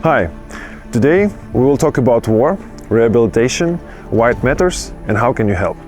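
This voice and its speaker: Calm man presenting a documentary. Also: medium pitch, normal speed, over music. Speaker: host and presenter.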